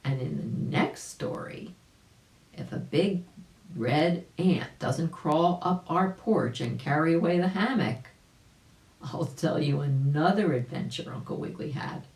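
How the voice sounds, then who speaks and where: A woman's voice: sits low, unhurried, gravelly, a little mellow. A woman narrating a children's story, explaining things and speaking directly to young listeners. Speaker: volunteer storybook reader. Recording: echoey room.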